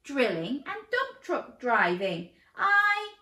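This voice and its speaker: A woman's voice: sits high, big pitch swings, slow pace, bright, forceful tone, pitched above the performer's own voice, heavily acted. A woman voicing a flustered dinosaur father who sputters his words. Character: flustered, blustering dinosaur dad. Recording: roomy echo.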